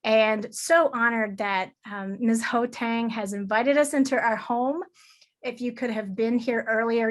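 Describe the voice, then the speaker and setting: A woman hosting an event, giving semi-formal welcome remarks in an earnest, appreciative manner. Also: on the high side. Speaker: event host.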